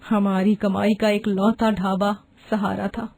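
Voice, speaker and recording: A woman voicing an anxious, aging village wife and mother in an animated story, speaking in a pleading, emotional way. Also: mid-range voice, slow, gravelly. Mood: worried.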